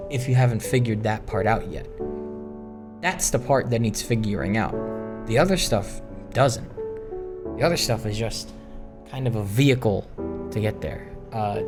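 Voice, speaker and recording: A gaming video host giving a casual, introspective monologue, rambling and reflective, often circling back to restate a point. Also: medium pitch.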